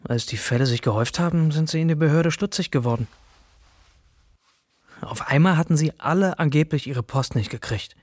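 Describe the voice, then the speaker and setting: Ein Schauspieler spielt im Hörspiel einen bodenständigen Kollegen, der umgangssprachlich und sachlich erzählt. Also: male voice, a little high, brisk, husky, dry recording, studio quality. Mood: matter-of-fact, wry. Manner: colloquial, matter-of-fact. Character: plain-spoken male postal colleague recounting events. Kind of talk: radio drama monologue.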